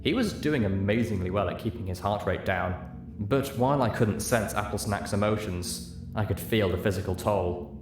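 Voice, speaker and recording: A man's voice: fairly low pitch, normal speed, background noise. A man narrating a story as a dramatic audiobook reader, recorded in a room. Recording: echoey room.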